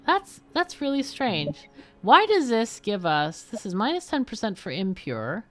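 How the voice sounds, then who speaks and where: A woman's voice: mid-range voice, big pitch swings, a little slow, fairly bright tone. A woman chatting casually and thinking aloud as she plays a game on a livestream. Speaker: streamer and game player. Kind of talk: casual livestream gameplay commentary.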